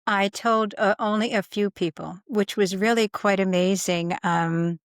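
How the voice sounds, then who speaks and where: A woman's voice: medium pitch, steady tone, no echo, clean studio sound. An older woman with a slight Boston accent, candidly telling stories as an interview guest. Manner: candid, storytelling, quick asides. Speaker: guest, integrative medicine coach. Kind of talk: casual interview chat.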